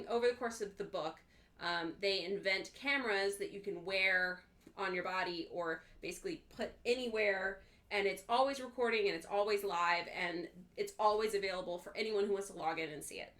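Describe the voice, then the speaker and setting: A woman's voice: medium pitch, normal speed, fairly bright tone. A woman co-hosting a casual book club livestream, explaining her thoughts point by point.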